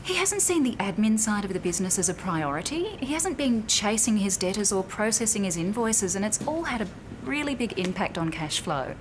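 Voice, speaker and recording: A woman playing a composed, firm but sympathetic bank officer in a naturalistic training drama, speaking in a measured, explanatory way. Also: medium pitch, very animated, lightly acted. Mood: explaining, serious. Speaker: actor in a corporate training drama. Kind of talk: naturalistic acted workplace dialogue. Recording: clearly noisy location sound with room ambience.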